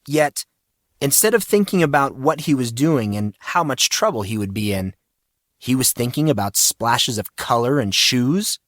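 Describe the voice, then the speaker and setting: A man's voice: medium pitch, expressive, moderate pace, fairly bright tone, no echo, studio quality. A man reading scripted, dramatic fiction as an audiobook narrator.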